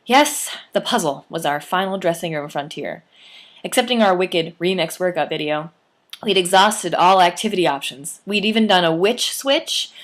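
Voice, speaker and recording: A young woman reads her own memoir aloud, her voice sitting somewhat low for a woman. She tells it with lively, playful emphasis. She reads like a storyteller sharing a personal tale. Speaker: author.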